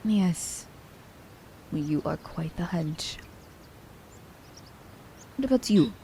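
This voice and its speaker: A woman playing a cunning, haughty immortal assassin in an ASMR audio drama, speaking in theatrical, formal diction, breathy and often dropping toward a whisper. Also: lowish voice, lively intonation, slow, warm tone, a little hiss or hum, full character voice. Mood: sly, musing. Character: immortal female assassin, cunning, teasing, haughty, romancing her captive.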